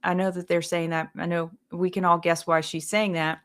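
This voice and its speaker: A woman's voice: fairly low pitch, little pitch movement, normal speed. A woman, hosting a political talk show, talking in a chatty, agreeable way with many fillers. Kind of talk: casual political discussion.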